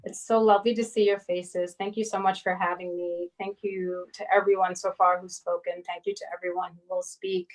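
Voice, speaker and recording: Flat-toned woman from Barbados. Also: fairly low pitch, a little fast, hardly any pauses, rough recording. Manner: conversational, with fillers and self-corrections. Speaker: invited speaker. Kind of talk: personal talk at a live-streamed arts event.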